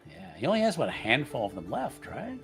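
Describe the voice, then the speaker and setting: A man's voice: mid-range voice, wildly swooping pitch, fast talker, creaky, fairly bright tone, soft background music. A man chatting casually as a player in a tabletop roleplaying game.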